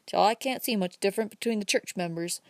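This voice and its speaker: A bitter young drifter, as a woman reads him, answering curtly and keeping his guard up. The voice stays low-key in a dry, close storybook recording. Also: fast, no echo, natural voice. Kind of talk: audiobook character reading.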